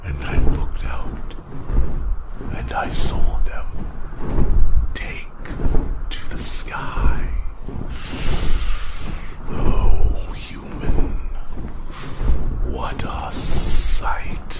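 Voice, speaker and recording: A man voicing a huge, proud, protective dragon with a growling, breathy voice, telling his tale solemnly with dramatic pauses in an ASMR fantasy roleplay. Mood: proud, awed. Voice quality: very deep, gravelly, breathy growl. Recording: loud rushing ambient noise over voice.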